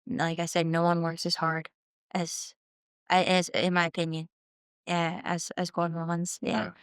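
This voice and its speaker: A woman's voice: on the low side, monotone, normal speed, clear, resonant tone, no room sound, clean studio sound. A woman in her twenties from Southern California, speaking as a guest on a casual podcast interview, earnest and reflective, often trailing off and restarting. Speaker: guest musician.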